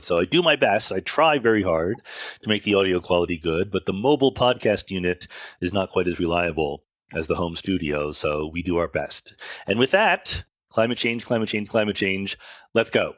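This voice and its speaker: Male podcast host, lowish voice, conversational explainer, self-correcting and measured, close dry sound. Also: no room sound. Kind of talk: informal explanatory monologue answering listener questions. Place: makeshift recording setup away from home studio.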